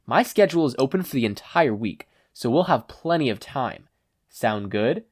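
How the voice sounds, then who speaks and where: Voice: mid-range voice, animated, moderate pace, natural voice. A podfic reader voicing a predatory lion-man hero mentor who goads and commands his student. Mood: challenging. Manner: commanding, goading.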